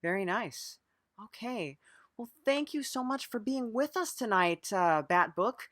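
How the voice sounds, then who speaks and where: A woman's voice: a little high, lively intonation, a little slow, fairly bright tone. A woman hosting a podcast, chatting casually and playfully with a mock-earnest manner. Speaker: podcast host. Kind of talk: casual improvised interview and show chat.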